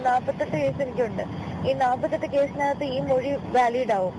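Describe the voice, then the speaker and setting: Insistent high-pitched woman on a noisy call. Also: brisk. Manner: insistent, repeats phrases, pleading complaint. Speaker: caller. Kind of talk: tense, argumentative phone conversation. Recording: noisy phone call recording, vehicle sounds.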